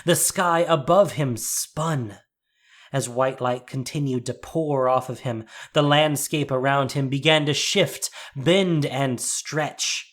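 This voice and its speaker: Slow, dramatic male fantasy narrator. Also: mid-range voice. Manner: measured, deliberate, suspenseful phrasing. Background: soft music.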